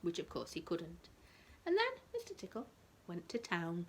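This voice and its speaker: A woman's voice: fairly low pitch, huge pitch swings, moderate pace, a little hiss or hum. A woman reading a storybook expressively to a small child, explaining things in simple words as a teacher would. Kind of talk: animated storybook read-aloud with asides explaining words.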